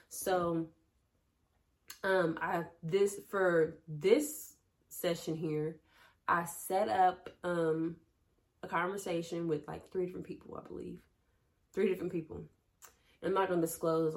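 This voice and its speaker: A young woman hosting her own podcast, talking casually to her listeners and calling them y'all and baby, still getting over a cold. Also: on the low side. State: recovering from a cold. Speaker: podcast host. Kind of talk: casual solo talk to listeners.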